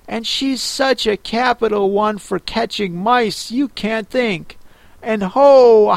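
Eager little girl done by a male reader, high lifted voice, dry close sound. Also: dry recording, heavily acted. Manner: eager, pleading. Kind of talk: audiobook character voice.

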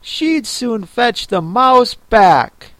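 Eager little girl done by a male reader, high lifted voice, dry close sound. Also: very animated, drawn-out words, a bit bright, dry recording, heavily acted. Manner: eager, pleading. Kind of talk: audiobook character voice.

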